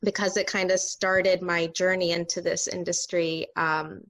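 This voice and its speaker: A woman's voice: lowish voice, flat delivery, measured pace, fairly bright tone. A woman who works as an actor, chatting casually on a remote call. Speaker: actor on a virtual table read. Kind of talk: casual chat.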